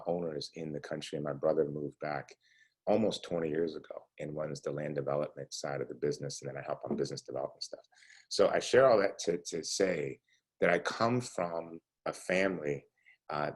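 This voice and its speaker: Man, forties, very low husky voice; relaxed motivational speaker. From United States. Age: 40s. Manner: conversational, lots of "you know" and "right?". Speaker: entrepreneur guest speaker. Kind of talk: casual talk to students.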